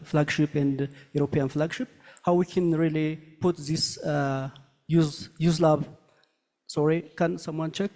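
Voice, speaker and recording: A hesitant man from Ethiopia asks questions from the audience floor. His voice is gravelly and a bit high for a man. The hall adds a long, trailing reverb. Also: mellow. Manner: hesitant, with fillers. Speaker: audience member, professor. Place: large conference hall.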